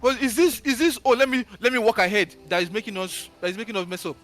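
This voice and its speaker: A man's voice: high-pitched, quick pace, fairly bright tone, faint music behind. A young man giving orders to others while they cook together. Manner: bossy, directing others while cooking.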